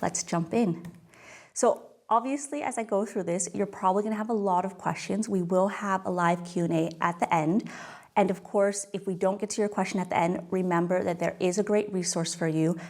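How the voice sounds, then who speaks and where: Californian woman presenting a webinar. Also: mid-range voice. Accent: Californian American. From California, United States. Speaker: webinar host, tax expert. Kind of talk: webinar presentation, conversational explaining. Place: office, indoors, at a desk.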